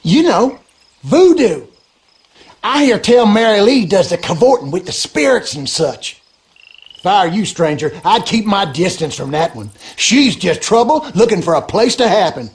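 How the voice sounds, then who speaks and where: An actor playing a gossipy, superstitious backwoods husband in a video game, with a rural Louisiana accent and folksy, colloquial talk. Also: male voice, high-pitched, big pitch swings, moderate pace. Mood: wary, gossipy. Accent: rural Louisiana Southern American. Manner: folksy, drawling, colloquial storyteller. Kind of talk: animated game character dialogue.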